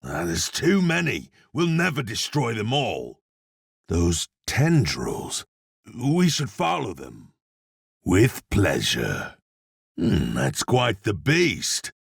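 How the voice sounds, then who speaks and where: Grim Old West blacksmith turned demon slayer, husky deep voice, dry wit, laconic, video game character. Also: male voice, wildly swooping pitch, slow, dry recording, full character voice. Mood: menacing, resolute. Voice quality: gravelly, husky. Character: grim, sardonic cowboy blacksmith demon hunter, adult man.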